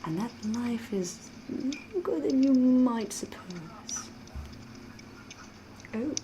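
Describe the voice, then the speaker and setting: A woman acting as ancient vampire woman, ASMR roleplay, very slow, soft whispery voice, coy and ominous, noisy ambience behind. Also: fairly high pitch, expressive. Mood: coy, flattered. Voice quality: breathy, soft, often whispering. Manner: coy, deliberate, ominous, theatrical, drawn-out phrasing.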